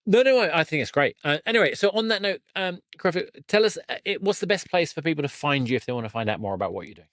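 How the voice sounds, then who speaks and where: A man hosting a casual podcast interview, speaking in a halting way with broken-off starts. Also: a little high, lively intonation, fairly bright tone, no room sound, studio quality.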